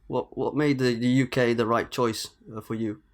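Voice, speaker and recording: Podcast host man, mid pitch. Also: level tone, normal speed. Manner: reads a scripted intro, then asks questions with some stumbles. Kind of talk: podcast intro and interview.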